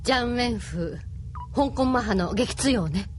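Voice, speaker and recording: A woman's voice: on the high side, expressive, moderate pace, slight background noise, natural voice. An actress playing a confident female tactician assessing the enemy ace.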